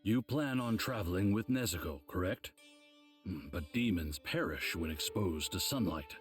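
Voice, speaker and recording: An actor playing a grave old mentor of demon slayers, explaining things in a measured, solemn way for a video game. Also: male voice, low voice, expressive, husky, faint music behind, exaggerated voice. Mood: calm, instructive. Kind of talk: English game dub, measured explanatory dialogue.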